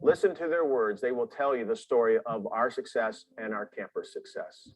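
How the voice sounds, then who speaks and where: A man's voice: medium pitch, normal speed, no echo, rough recording. Earnest man introducing a camp video. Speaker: camp board member.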